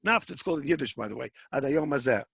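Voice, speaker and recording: A middle-aged rabbi teaching a Talmud class, explaining step by step with many restarts and Hebrew terms mixed in. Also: male voice, mid-range voice, expressive, quick pace, gravelly, a bit bright, husky, no room sound, lo-fi sound. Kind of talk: informal Talmud lecture.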